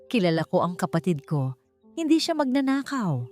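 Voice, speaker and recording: A woman's voice: fairly low pitch, big pitch swings, moderate pace, a little mellow. Woman playing a brave, emotional young waitress, music behind. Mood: desperate denial. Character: frightened but brave young waitress protecting her younger brother.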